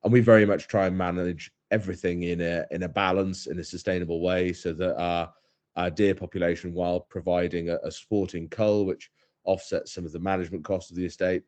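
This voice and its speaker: Deep-voiced man, thoughtful and hesitant. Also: level tone, fairly quick, a little mellow. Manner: thoughtful, hesitant, many fillers and restarts. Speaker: head stalker, guest. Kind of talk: casual podcast interview.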